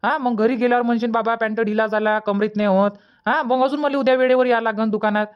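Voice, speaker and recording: A woman acts out a doting village dad in a cartoon comedy. The voice is high for the part and very quick, briskly coaxing in Vidarbha Marathi. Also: steady tone, smooth, dull audio, natural voice. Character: brisk, doting village father of small children.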